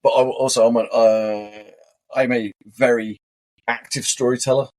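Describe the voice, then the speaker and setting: A man co-hosting a podcast at home who talks loud and projects like an animated storyteller. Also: mid-range voice, moderate pace. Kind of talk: casual chat. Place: living room sofa at home. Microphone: shared desk microphone.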